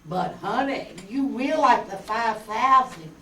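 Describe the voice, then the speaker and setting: Lady doing a coy southern schemer, radio noir, real slow n sweet-talking, roomy far-off mic. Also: on the high side, lively intonation, a bit bright. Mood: coy, coaxing. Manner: coaxing, sugary. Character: coy, scheming Southern blonde femme fatale. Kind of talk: 1950s radio noir acting on stage. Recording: echoey room, distant mic, background noise.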